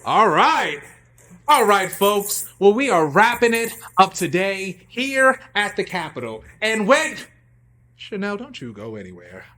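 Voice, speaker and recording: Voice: medium pitch, very animated, unhurried, sharp tone. A live radio show host with a theatrical, folksy way of talking, upbeat and addressing the audience.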